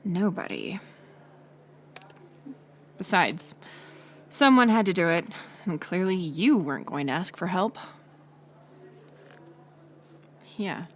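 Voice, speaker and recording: A young woman playing a mocking college bully in an audio roleplay, sarcastic and teasing, with a hidden softer side. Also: lowish voice, animated, unhurried, pauses often, slight background noise. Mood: defensive. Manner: sarcastic, teasing, scoffing with sighs and breathy asides. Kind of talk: audio roleplay acting, intimate one-sided dialogue.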